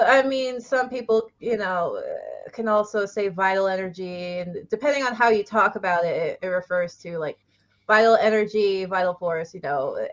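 A woman's voice: medium pitch, dull audio. A woman co-hosting a casual book club chat, rambling through thoughts with many fillers and frequent laughter.

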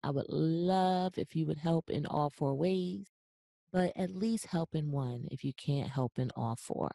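A woman's voice: deep voice, measured pace, creaky, warm tone, dry recording, clean studio sound. A woman hosting a podcast interview, enthusiastic and chatty, often repeating her praise. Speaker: podcast host. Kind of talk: casual interview, upbeat.

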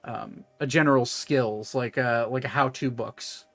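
A man acts as game master, improvising narration for a tabletop game. His voice sits in a middle register and he talks conversationally, stopping to rephrase. The sound is dry, with soft music underneath. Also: mid-range voice, steady tone, dry recording. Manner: halting, conversational, self-correcting.

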